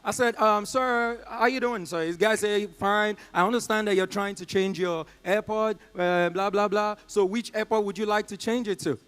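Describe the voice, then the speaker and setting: A young Nigerian man doing live stand-up, telling a story and acting out the people in it with quick voices and loud exclamations. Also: sits high, fairly even intonation, clean tone. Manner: acts out callers and agents in quick put-on voices, loud exclamations. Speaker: stand-up comedian. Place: comedy club stage.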